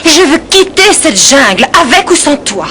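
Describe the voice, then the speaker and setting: A woman's voice: sits high, very animated, moderate pace, pushed and bright, a little hiss or hum, heavily acted. A woman playing a headstrong, impatient young woman in a French cartoon dub. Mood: impatient, defiant.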